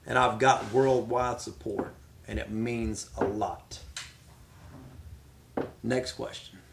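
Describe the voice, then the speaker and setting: A man's voice: lowish voice, fairly even intonation, slow, vocal fry, noisy background. A middle-aged man, speaking as a pro wrestler making his case with dramatic, emphatic repetition. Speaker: professional wrestler. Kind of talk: promotional speech answering questions.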